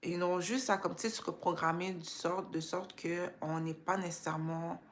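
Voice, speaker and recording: Woman, lower-pitched voice, Quebec French accent with Creole and English touches, casual motivational live chat, English tag phrases sprinkled in. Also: steady tone, normal speed, a little hiss or hum. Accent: Quebec French with some Creole and English influence. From Quebec, Canada. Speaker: self-care live stream host.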